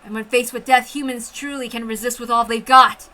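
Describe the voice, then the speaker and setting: Woman reading a dark story out loud, kinda high n really bright voice, dramatic and intense. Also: a little fast. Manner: expressive, intense. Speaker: amateur story reader. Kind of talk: dramatic first-person story narration.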